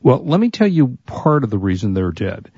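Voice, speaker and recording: Man, husky and fading voice, mellow tone, casual tech co-host, dull dry recording. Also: medium pitch, big pitch swings, moderate pace, no echo. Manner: chatty, offers product rundowns and opinions. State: losing his voice.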